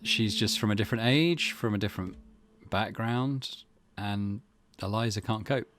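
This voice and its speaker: A man's voice: on the low side, normal speed, fairly bright tone. A man giving casual let's play commentary as a gaming host, musing sympathetically.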